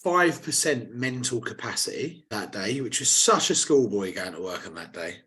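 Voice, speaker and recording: A man with a slightly hoarse voice, chatting on a podcast in a loose, laddish way. His voice sits at an everyday middle pitch as he tells rowdy stories with plenty of energy. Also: moderate pace. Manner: animated, laddish banter. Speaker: podcast co-host. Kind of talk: casual chat.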